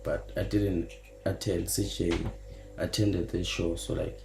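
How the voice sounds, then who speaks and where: Man, podcast host, relaxed chatty manner, deep gravelly voice. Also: a little slow, reverberant, over music. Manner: laid-back, mixes Zulu slang into English. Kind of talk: casual podcast chat.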